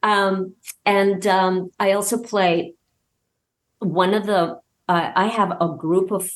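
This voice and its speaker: A woman's voice: mid-range voice, steady tone, slow pace. A woman speaking as an interview guest, explaining things casually with hesitant phrasing. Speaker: guest, voice actor and scriptwriter.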